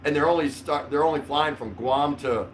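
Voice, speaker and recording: An older man hosts a relaxed livestream from a small indoor room. He rambles conversationally with a joking, mildly sweary manner. A light layer of background noise sits behind his voice. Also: a little high, moderate pace. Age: older, around 60. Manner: rambling, jokey, mildly profane. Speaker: livestream host. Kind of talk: casual livestream chat.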